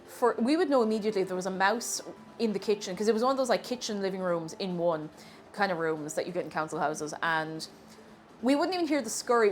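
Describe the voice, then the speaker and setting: An Irish woman with a sniffly cold, chatting casually with viewers while cooking on a live stream. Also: on the high side. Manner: chatty and animated, thanks viewers warmly.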